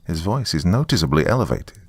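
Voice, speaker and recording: A man reading scripted horror narration in a measured, descriptive way. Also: sits low, sing-song, husky, no room sound, clean studio sound. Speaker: story narrator.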